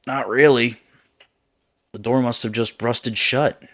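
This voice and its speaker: A man's voice: mid-range voice, steady tone, slow pace, a bit bright, no echo, clean studio sound, pitched above the performer's own voice. Man as a terse, stubborn young explorer. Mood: offhand. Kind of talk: acted dialogue in horror narration.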